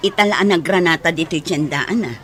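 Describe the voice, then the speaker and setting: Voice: medium pitch, brisk, fairly bright tone, close to an everyday voice. A performer acting a consoling, easygoing middle-aged parent in an Ilocano radio drama. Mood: reassuring.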